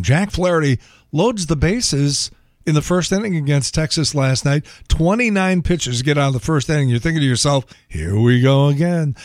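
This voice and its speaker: A man with an American accent and a gravelly, thinning voice, hosting a podcast in a wry, colloquial, sometimes profane solo monologue. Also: mid-range voice, no room sound, clean studio sound. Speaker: podcast host. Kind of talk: casual solo monologue on news and sport.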